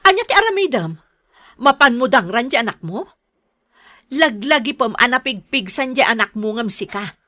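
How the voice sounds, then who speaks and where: A woman's voice: on the high side, lively intonation, moderate pace, sharp tone, no echo, studio quality. A woman with a Filipino Ilocano accent playing a patient, long-suffering middle-aged mother in a radio serial, pleading and soothing. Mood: pleading, upset. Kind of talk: radio serial drama acting.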